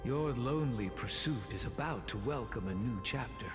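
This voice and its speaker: A man's voice: medium pitch, expressive, moderate pace, close to an everyday voice. A man playing a solemn, kindly sage and mentor in a video game, speaking formally and paternally. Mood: solemn, encouraging. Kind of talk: dramatic video game voice acting. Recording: echoey long reverb, music behind.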